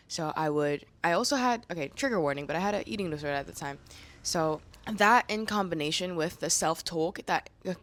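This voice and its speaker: A woman vlogger with a slightly raspy voice, chatting candidly to camera in slangy, casual speech. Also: low-pitched. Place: room indoors.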